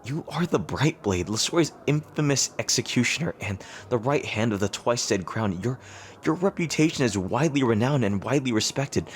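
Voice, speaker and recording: A man playing an earnest, lovestruck rival king in an intimate romantic audio roleplay, speaking haltingly and hesitantly. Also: mid-range voice, animated, slight background noise, natural voice. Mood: admiring.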